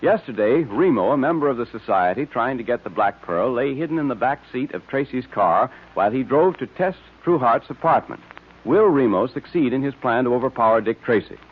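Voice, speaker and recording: A man announces in scripted 1940s radio style. His delivery is animated, emphatic and salesmanlike, with a husky tone on a tinny, narrow recording. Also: mid-range voice, brisk.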